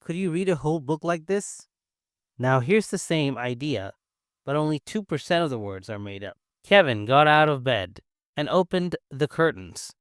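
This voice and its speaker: A young man from China with a Chinese accent, whose first language is Mandarin, teaching English in an encouraging, clear and simple way. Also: a little high, animated, moderate pace, a bit bright, no room sound, studio quality. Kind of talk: scripted English-learning lesson.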